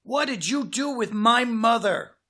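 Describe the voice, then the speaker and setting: A man's voice: very high-pitched, measured pace, pushed and bright, voice pushed up, full character voice. A man voicing a nervous but plucky little boy, earnest and demanding, in a children's storybook.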